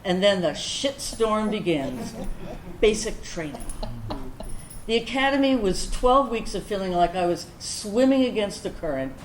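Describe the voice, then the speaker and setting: Actress as candid woman veteran, animated, echoey room. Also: medium pitch. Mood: wry, animated. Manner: confessional, conversational storytelling, wry, with deliberate pauses. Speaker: actor in a staged reading. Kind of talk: dramatic first-person monologue in a staged reading. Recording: roomy echoing stage recording, background noise.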